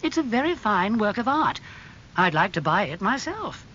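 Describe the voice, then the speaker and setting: A narrator playing a suave, lilting gentleman. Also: medium pitch, sing-song, normal speed, highs cut off, slight background noise, pitched above the performer's own voice. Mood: smooth amusement. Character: suave, amused aristocratic young gentleman. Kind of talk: dramatised audiobook dialogue.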